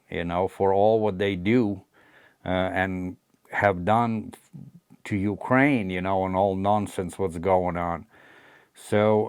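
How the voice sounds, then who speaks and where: A man's voice: lowish voice, smooth, dry recording, clean studio sound. A middle-aged man from Latvia with a Latvian accent, talking frankly to his channel's viewers in a rambling way full of fillers. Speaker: channel host. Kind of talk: casual personal talk to camera.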